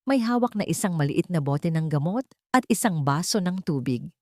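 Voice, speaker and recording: A woman narrating a dramatic scripted audio story in deliberate, suspenseful phrases. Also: on the low side, expressive, a little mellow, no echo, studio quality.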